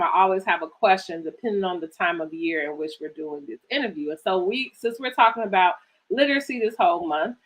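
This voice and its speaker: A woman's voice: medium pitch, a bit bright, rough recording. A middle-aged woman hosting a virtual author interview on a video call, enthusiastic and casual, laughing often with loose, rambling phrasing. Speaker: host of a virtual author storytime.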